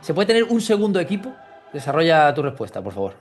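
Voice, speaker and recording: A man's voice: on the high side, sing-song, fast, soft background music. A man with a Spain Spanish accent hosting a casual football chat, talking informally with plenty of slang. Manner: informal, chatty, peppers talk with slang. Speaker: podcast host and interviewer.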